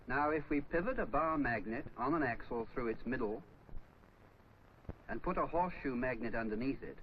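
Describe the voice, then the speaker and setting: A man narrating a scripted 1950s instructional film, explaining step by step in a didactic way. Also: medium pitch. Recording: dull, noisy old 1950s film soundtrack.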